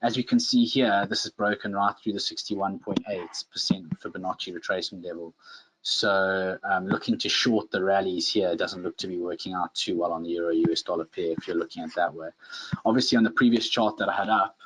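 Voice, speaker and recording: A man's voice: low voice, fairly even intonation, fairly quick, a bit bright, slight background noise, low-quality recording. A man with a South African accent presenting an informal live tutorial as a webinar instructor, often hesitant and searching for words.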